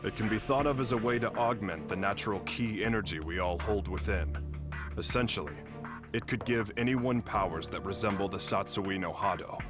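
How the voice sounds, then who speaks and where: A man voicing a grave, serious fighter in an animated film dub, speaking in a solemn, deliberate way. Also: fairly low pitch, natural voice. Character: grave, serious male fighter briefing his team. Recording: music behind the voice.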